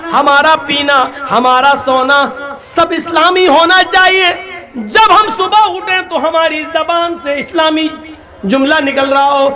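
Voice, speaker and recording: A male preacher giving an impassioned sermon, often raising his voice to a shout and slowing down to recite Arabic prayers. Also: very high voice. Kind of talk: Islamic sermon.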